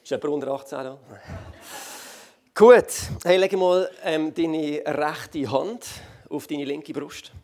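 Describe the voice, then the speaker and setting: Lively Swiss German pastor. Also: male voice, a little high, slow pace. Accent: Swiss German, Basel. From Basel region, Switzerland. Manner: lively, joking, loud with many fillers. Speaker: guest pastor. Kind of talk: church stage talk. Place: large church hall on stage.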